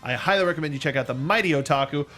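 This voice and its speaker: A man's voice: a little high, racing, bright, forceful tone. A man hosting a review show in a casual, informal and enthusiastic way. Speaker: show host.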